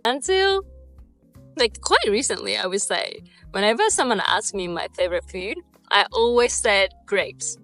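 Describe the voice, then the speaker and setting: A woman's voice: medium pitch, fairly bright tone. A Japanese woman in her twenties with a Japanese accent tinged with Australian and British sounds, chatting casually through a Q&A vlog, bubbly and full of "like" fillers. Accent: Japanese with Australian and British traces. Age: 20s. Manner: bubbly, lots of "like" fillers and laughs. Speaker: vlogger.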